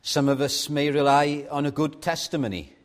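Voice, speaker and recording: A man with a Welsh accent, a native Welsh speaker, preaching a sermon in a measured way and putting rhetorical questions to his congregation. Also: fairly high pitch, fairly even intonation. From Wales.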